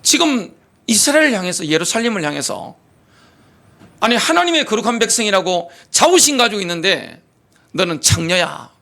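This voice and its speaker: High, lively-voiced male preacher. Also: normal speed, creaky. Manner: rhetorical questions, emphatic preaching. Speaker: pastor. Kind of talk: sermon. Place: church sanctuary.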